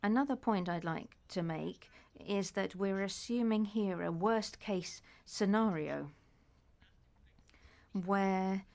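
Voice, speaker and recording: Woman, interpreter, slow, hesitant, medium pitch. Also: vocal fry, a little mellow. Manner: measured, with frequent hesitations. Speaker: conference interpreter. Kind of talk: simultaneous interpretation of a speech.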